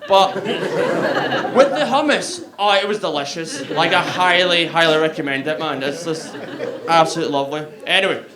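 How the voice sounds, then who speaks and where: High-voiced Glaswegian man doing stand-up. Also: normal speed, a crowd in the background. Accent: Glaswegian Scottish. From Scotland. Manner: chatty and self-mocking, laughing at his own jokes. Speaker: stand-up comedian. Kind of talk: stand-up comedy set. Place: comedy club on stage.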